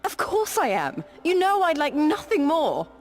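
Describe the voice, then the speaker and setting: A woman's voice: high voice, wildly swooping pitch, unhurried, faint music behind, exaggerated voice. A woman playing an eager, outspoken young fighter in a fantasy game, direct and emotional in delivery.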